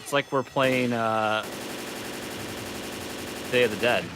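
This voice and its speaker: A man's voice: medium pitch, steady tone, takes it slowly, noisy background. A man co-hosting a podcast, chatting in a laid-back way with short reactions while gaming. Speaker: podcast co-host. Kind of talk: casual chat while gaming.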